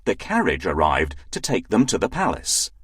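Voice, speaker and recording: A storyteller giving an expressive, scripted narration of a children's fairy tale. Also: sits low, moderate pace, husky, no room sound, clean studio sound.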